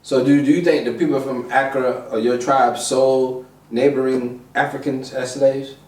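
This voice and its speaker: A man's voice: medium pitch, little pitch movement, normal speed, a little mellow, far from the mic. A man hosting a casual podcast chat, asking curious, probing questions. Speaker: podcast host. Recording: roomy, from off the mic.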